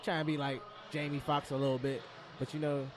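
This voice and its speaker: A man's voice: a little high, flat delivery, brisk, background noise. A man chatting casually as a radio show guest, laid-back and slangy, swearing freely. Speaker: guest comedian. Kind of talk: casual chat.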